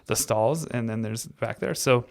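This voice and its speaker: An American man co-hosting a podcast, telling stories in an animated, amused, casual way. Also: mid-range voice, unhurried, creaky. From United States. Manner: animated, amused, often laughing through his stories. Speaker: podcast co-host. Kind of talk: casual storytelling chat.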